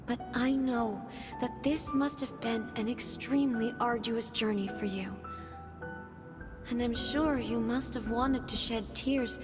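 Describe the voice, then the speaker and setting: A woman plays the spirit of a departed goddess in a video game, tender and wistful. The voice is fairly high and takes its time over formal phrases. Music runs clearly underneath. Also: fairly even intonation, unhurried, natural voice. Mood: wistful, caring. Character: gentle, wistful female spirit of a departed deity. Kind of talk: video game drama dialogue.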